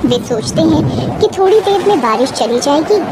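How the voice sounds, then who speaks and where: High-pitched woman narrating a story. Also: lively intonation, moderate pace, heavy background noise. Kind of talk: scripted dramatic story narration.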